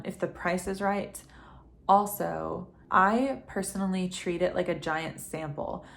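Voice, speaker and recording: Woman in her thirties, lowish voice, soft and hushed, slight cold, rambling casual vlog at home. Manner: talking quietly at night; chatty, rambling, with frequent ums. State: getting over a head cold, dry throat. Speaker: fragrance reviewer. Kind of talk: casual vlog talk to camera. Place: home at night.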